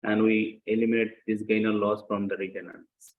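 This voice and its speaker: A man's voice: lowish voice, level tone, a little fast. A man giving a lecture as he works through an example step by step, repeating phrases and checking understanding with short questions. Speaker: accounting lecturer. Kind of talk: teaching lecture with worked example. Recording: echoey room, dull muffled sound.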